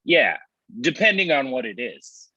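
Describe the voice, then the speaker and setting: A man's voice: mid-range voice, big pitch swings, unhurried, pushed and bright, breathy edge, full character voice. A man playing a needling, wisecracking friend in a comedy table read, heard through a dull online-call connection. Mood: probing.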